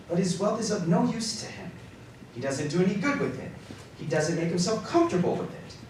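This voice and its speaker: Casting: male actor as cheerful, forgiving young gentleman host for live radio drama; animated delivery, distant mic. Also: a little high. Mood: amused. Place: theater stage before live recording. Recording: distant, very echoey live stage, faint noise.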